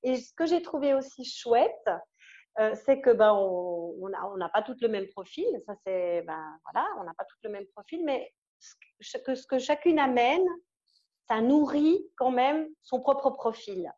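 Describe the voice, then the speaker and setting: A woman from Switzerland with a Swiss French accent, giving an enthusiastic, halting testimonial full of fillers and restarts. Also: a little high, lively intonation, dark tone, dull audio. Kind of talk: casual testimonial interview.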